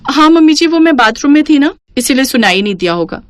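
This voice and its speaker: A spoiled young cartoon bride, put on by a woman, pitched high and airy. She is stubborn and cheeky, answering back in a whiny, animated way. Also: fast, dry recording, studio quality. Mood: sweetly evasive. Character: pampered, cheeky young daughter-in-law, stubborn and defiant. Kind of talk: Hindi cartoon moral story acting.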